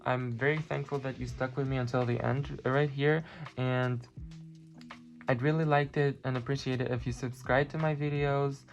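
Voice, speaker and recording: Non-native English card reader with a gravelly voice and a halting delivery full of fillers, over clear background music. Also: mid-range voice, steady tone, lo-fi sound. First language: not English. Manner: halting, many fillers, searching for words. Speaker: tarot and oracle card reader. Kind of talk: pick-a-card spiritual reading.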